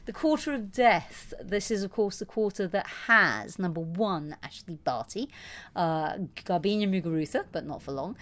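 A woman's voice: lowish voice, animated, moderate pace, a bit bright. A woman co-hosting a casual tennis podcast, chatty and self-mocking, with frequent fillers and restarts. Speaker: podcast co-host.

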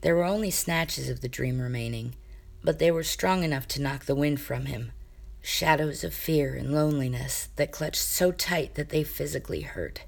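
Low-pitched woman reading a story. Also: creaky. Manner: expressive, acts out dialogue. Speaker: amateur audiobook reader of fan fiction. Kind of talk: scripted story narration.

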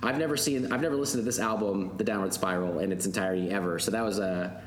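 A man's voice: fairly low pitch, fast talker, roomy sound, slight background noise. A man in his thirties co-hosting a podcast in casual chat, talking hesitantly with many fillers. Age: 30s. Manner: hesitant, filler-heavy talker. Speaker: podcast co-host.

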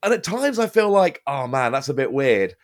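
A man's voice: medium pitch, moderate pace. A man in his thirties, a podcast host, chatting casually and telling stories with animation. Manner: animated, lively storytelling. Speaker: podcast host and card game caster. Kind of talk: casual podcast interview chat.

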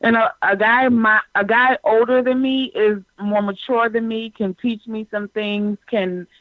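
A woman's voice: a little high, steady tone, unhurried, dry recording. A woman in her twenties, earnestly explaining her views at length in casual chat. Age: 20s. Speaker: guest. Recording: dull dry call audio.

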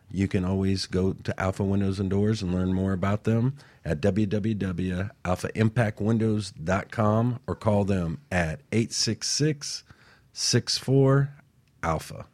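A man's voice: lowish voice, measured pace, a little mellow. A man co-hosting a talk show in a casual, motivational conversational style.

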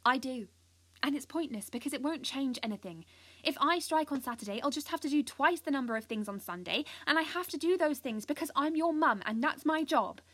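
A woman's voice: high voice, lively intonation, brisk, a bit bright, no room sound, pitched above the performer's own voice. A woman playing an anxious, overburdened mother in an audio drama, insistent and disapproving. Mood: insistent, weary.

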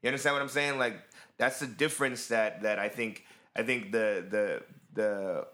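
Male podcast co-host, animated casual talker. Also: lowish voice. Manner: animated, quick bursts, many fillers. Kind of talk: casual chat.

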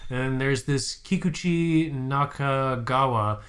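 A man's voice: mid-range voice, takes it slowly, fairly bright tone. A man co-hosting a podcast in casual chat, hyped and profane as he plugs the website. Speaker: podcast co-host.

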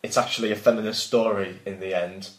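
A man's voice: fairly low pitch, steady tone, fast, over a noisy crowd. Man reading his own story in a roomy echo. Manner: breaks off from reading to banter. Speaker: podcast host and author reading own work. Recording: roomy echoing sound.

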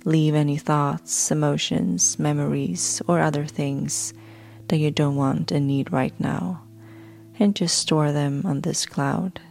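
A woman podcast host narrating a guided sleep hypnosis in a soft, soothing way, with breathy phrase endings. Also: low-pitched, steady tone, takes it slowly. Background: background music.

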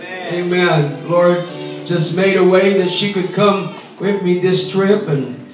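A man from Brazil, a Portuguese native speaker, preaching fervently in English and interpreting a testimony, at times shouting praise. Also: sits high, steady tone, slow, over music. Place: church sanctuary. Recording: echoey church heard from far off, dull.

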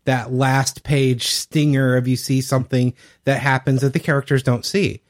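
A man's voice: mid-range voice, steady tone, normal speed. A man co-hosting a casual podcast discussion about tabletop gaming, explaining his points from his own experience. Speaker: podcast co-host. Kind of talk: casual discussion of tabletop role-playing games.

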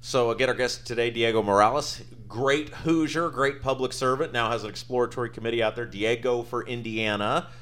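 A man's voice: mid-range voice, steady tone, brisk, hardly any pauses, fairly bright tone. A man hosting an interview, friendly and chatty, telling stories and asking questions. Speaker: podcast host. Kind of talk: interview conversation.

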